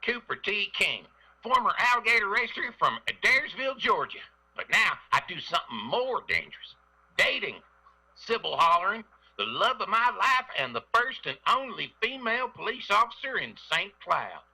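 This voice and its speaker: An actor playing an excitable, lovestruck former alligator racer in a radio comedy. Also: male voice, high-pitched, normal speed, gravelly, slightly rough, full character voice, low-quality recording. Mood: eager, smitten.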